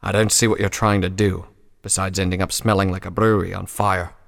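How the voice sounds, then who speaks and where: A man's voice: mid-range voice, fairly quick, fairly bright tone, in a large hall, lightly acted. A man voicing a grouchy, cynical old male pony doctor who grumbles and snaps in a story. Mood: grumbling. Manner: snappish, grumbling, dismissive.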